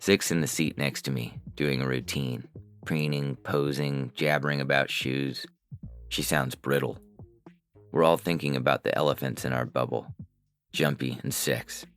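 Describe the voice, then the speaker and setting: A man's voice: rumbling low, steady tone, measured pace, a bit bright, clear, resonant tone. A man narrating a scripted first-person science fiction story as its reader. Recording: music bed under the voice. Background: music.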